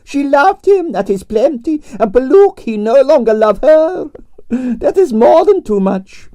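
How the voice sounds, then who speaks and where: A man voicing a flamboyant aging Russian ballerina with a Russian accent and broken English, in a high, swooping, theatrical voice for an audiobook. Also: sing-song, unhurried, warm tone, no echo, heavily acted. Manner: broken English, grand declarations.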